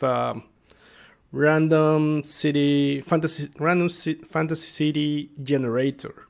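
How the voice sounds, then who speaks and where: Slow, halting man with a creaky voice. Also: fairly high pitch. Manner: halting, hesitant, searching for words. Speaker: tabletop game master and video creator. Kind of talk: informal explainer talk to viewers.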